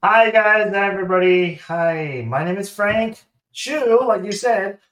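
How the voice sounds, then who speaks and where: A man's voice: sits high, slow, fairly bright tone. A man from Taiwan with a Taiwanese accent, chatting casually as an interview guest and acting out dialogue as he tells stories. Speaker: guest, sponsored competitive shooter. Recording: roomy, echoing sound.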